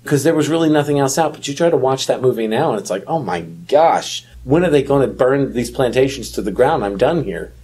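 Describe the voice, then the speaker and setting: A man's voice: mid-range voice, expressive, brisk, few pauses, a little mellow, breathy edge. A man in casual, wisecracking chat as a podcast co-host.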